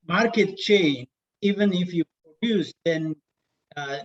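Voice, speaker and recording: A man from Ethiopia with an Ethiopian accent giving an earnest, somewhat halting spontaneous comment in an online session. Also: on the high side. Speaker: audience participant, water resource engineer. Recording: distant, dull video call audio.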